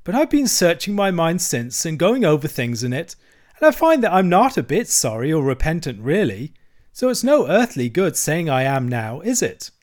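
A man voicing a vain, excitable male toad from a storybook, stubborn and gushing in delivery. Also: on the high side, moderate pace, pitched above the performer's own voice. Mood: impatient, gushing. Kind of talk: storybook character voice.

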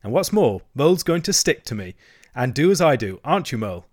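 A man voicing a sensible, stubborn water rat in a storybook, in a measured, dry, firm manner. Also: mid-range voice, sing-song. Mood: dogged. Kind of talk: storybook character acting.

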